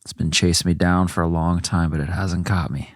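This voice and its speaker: A man's voice: low-pitched, steady tone, fairly quick, no echo, clean studio sound. A man co-hosting a podcast as a guest, chatting casually with short reactions.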